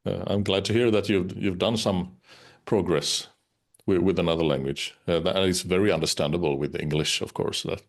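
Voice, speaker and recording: A man's voice: sits low, fairly even intonation, normal speed. A man whose first language is Finnish, speaking English in a casual interview, hesitant, with many uhs and restarts. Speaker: interviewed musician.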